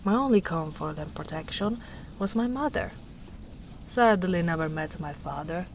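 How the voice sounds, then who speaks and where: A woman voicing a gentle, protective female ogre in a soft-spoken roleplay, talking in a hushed, half-whispered way. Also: lowish voice, lively intonation, moderate pace, a little hiss or hum. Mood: wistful.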